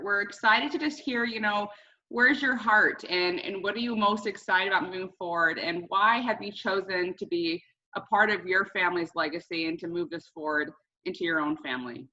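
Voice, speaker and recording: Woman, bright forceful voice, earnest expressive host, personal storytelling, slightly dull lo-fi video-call audio. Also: medium pitch, moderate pace. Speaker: host introducing a guest.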